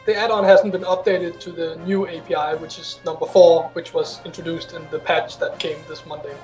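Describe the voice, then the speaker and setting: A man's voice: a little high, little pitch movement, fairly quick, a little mellow, reverberant, faint music behind, poor audio. A man with a Danish accent, a guest add-on author, patiently giving technical explanations. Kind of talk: casual explanation.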